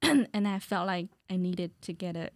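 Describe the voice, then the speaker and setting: A young woman with a slightly low voice chats casually. She is animated and jokey, and she swears freely. The sound is dry and close, with little room to it. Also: moderate pace, no room sound. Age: young adult. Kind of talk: casual chat.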